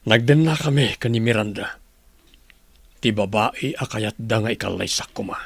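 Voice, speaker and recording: A remorseful husband in a radio drama, pleading earnestly. His voice is creaky and rough, of lowish-middle register, with an Ilocano accent. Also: moderate pace, fairly bright tone, dry recording, exaggerated voice.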